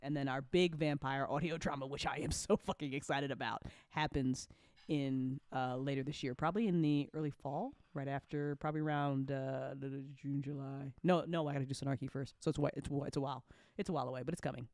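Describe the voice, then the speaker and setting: A woman's voice: extremely low pitch, fairly even intonation, quick pace, a little mellow, no room sound. A woman hosting a casual live chat show, exuberant and slangy, teasing people and swearing freely. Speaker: host of audio drama after show, show creator.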